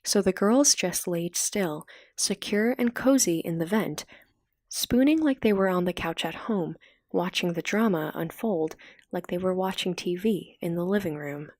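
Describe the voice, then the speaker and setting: Low-pitched woman narrator, very dry sound. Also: measured pace, a little mellow, dry recording. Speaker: audiobook narrator. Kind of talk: scripted story narration.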